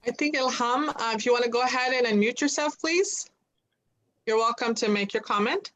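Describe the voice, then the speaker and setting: A woman co-host briskly inviting speakers on a video call. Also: fairly high pitch, a bit bright.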